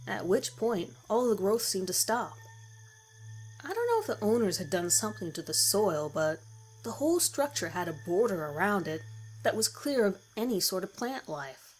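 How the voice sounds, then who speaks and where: A woman's voice: medium pitch, very animated, moderate pace, slight background noise. A woman reading a scripted first-person horror story as its narrator. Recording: music bed under the voice. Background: background music.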